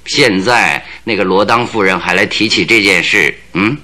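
An actor in a radio drama playing a keen, commanding male detective, deliberate and questioning. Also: deep voice, bright, forceful tone, exaggerated voice. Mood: reflective.

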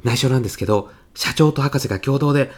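A man playing a polite, glib, persuasive salesman pitching to housewives in a comedic radio sketch. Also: fast talker, husky, lightly acted. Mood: confidential, persuasive.

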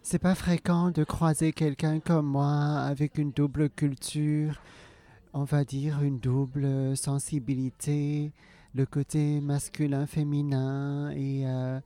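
A woman's voice: low-pitched, little pitch movement, slow pace, dark tone. A woman from Hong Kong, a native Cantonese speaker, answering interview questions in French with an accent mixing Alsatian and English, hesitating often with many fillers. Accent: Hong Kong and Alsatian tinged French. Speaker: comedian guest.

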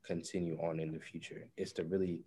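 A young man with a Liberian accent, a guest in a casual discussion, speaking in a relaxed way that often trails off quietly. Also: low voice, a little fast, breathy edge. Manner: relaxed, trails off into softer speech.

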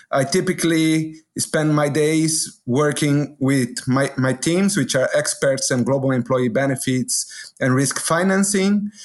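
A middle-aged man from Brazil, a business executive answering questions as a guest in an interview. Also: fairly high pitch.

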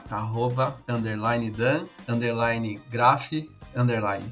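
A man's voice: mid-range voice, slow pace, fairly bright tone, soft background music. A man in his thirties with a Brazilian accent, chatting casually and jokingly as a podcast co-host. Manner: playful, joking. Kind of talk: casual chat.

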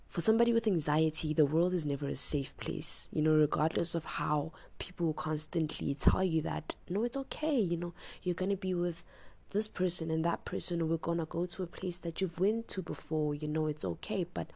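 Low raspy woman, hushed late-night talk. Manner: hushed and soft-spoken, often near a whisper; rambling, with constant "you know" and "like". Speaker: podcast host. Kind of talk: casual personal chat. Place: home at night, lying in bed.

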